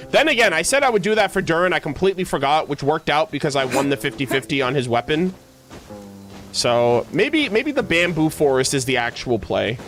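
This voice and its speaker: Male game streamer, slightly high voice, loose slangy chatter full of self-corrections, music underneath. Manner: rambling, self-correcting, slangy, animated asides. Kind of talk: casual livestream chat with viewers.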